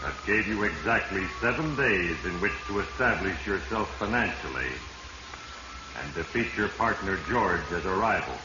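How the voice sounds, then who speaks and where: A man's voice: sits low, lively intonation, normal speed, over music. A male actor playing an ominous voice of fate, narrating a 1940s radio drama solemnly in an American accent. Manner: solemn, ominous second-person storytelling. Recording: muffled, noisy 1940s radio recording, echoey.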